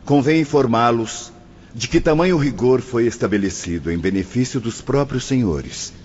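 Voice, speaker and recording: An actor playing a solemn, formal official of a spirit hospital, explaining in measured speech in an audiobook drama. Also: male voice, mid-range voice, lively intonation, a little mellow, close to an everyday voice. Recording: dull lo-fi audio with faint noise.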